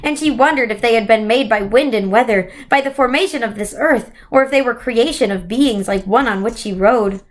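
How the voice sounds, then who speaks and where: A woman's voice: on the high side, brisk, few pauses. A woman reading a children's book aloud, now and then stumbling over words and correcting herself. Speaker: story reader.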